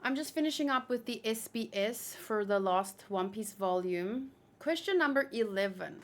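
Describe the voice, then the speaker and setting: A woman doing casual livestream commentary, reading aloud and reacting in a chatty, expressive way. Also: a little high, normal speed. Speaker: manga read-along streamer.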